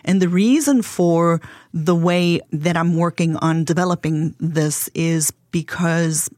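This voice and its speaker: A woman with a light South African accent explains her work in an earnest, measured way. Her voice sits fairly low for a woman. The recording is dry and close. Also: darkish tone, dry recording. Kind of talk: interview answers.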